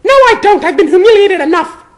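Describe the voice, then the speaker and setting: An indignant young witch schoolgirl, acted out by a man in a very high, piercing falsetto. She sputters and scolds, swinging widely in pitch, as in a dramatic story reading. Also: lively intonation, a little fast. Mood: upset, humiliated. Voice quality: shrill falsetto. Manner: furious, sputtering.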